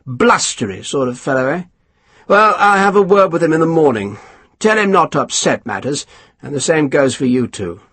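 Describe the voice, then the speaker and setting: A man's voice: fairly high pitch, lively intonation, moderate pace, a bit bright, husky, dry recording, voice pushed up. A man voicing a pompous, scheming British colonel who talks down to others in a haughty, snappish way, reading for an audiobook. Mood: snapping. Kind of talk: audiobook character voice.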